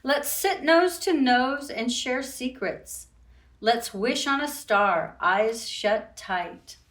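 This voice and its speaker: A woman's voice: on the high side, expressive, slow pace, fairly bright tone. A woman, a children's librarian, hosting storytime and reading aloud expressively to young children, with sing-song rhymes. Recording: roomy echo, distant from the mic.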